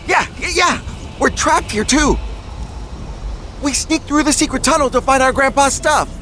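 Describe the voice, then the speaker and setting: A man's voice: very high-pitched, moderate pace. A man playing a flustered, stammering, hapless young male sneak in a video game, with music behind him. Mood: panicked. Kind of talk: animated video game voice acting. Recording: loud music behind the dialogue.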